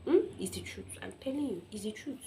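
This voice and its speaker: A woman's voice: mid-range voice, animated, quick pace, warm tone, a little hiss or hum. A young Nigerian woman speaking as an informal motivational speaker, telling personal stories emphatically, acting out quotes and often checking in with listeners.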